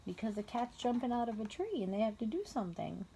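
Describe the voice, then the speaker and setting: A woman reading a children's story aloud, pausing to explain things simply and patiently to a young child. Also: a little high, big pitch swings, vocal fry, noisy background. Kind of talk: read-aloud story narration with casual asides to a child.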